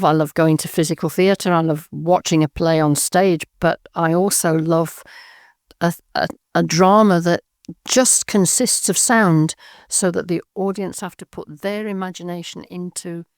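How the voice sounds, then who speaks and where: A woman's voice: lowish voice, a little slow, a little mellow, dry recording, studio quality. A woman in her sixties from Birmingham, talking thoughtfully as an interview guest, with frequent ums and repeated words. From England, Birmingham. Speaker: guest, audio drama writer and director. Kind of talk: casual interview chat.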